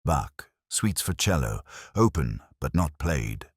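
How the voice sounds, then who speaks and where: A very low-pitched, world-weary older male detective as acted by a man narrates his case like a literary crime drama. The delivery is solemn and measured, yet the melody rises and falls a good deal. The sound is dry and close. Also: sing-song, breathy edge, no echo, full character voice. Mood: somber, quiet. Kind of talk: scripted first-person detective story narration.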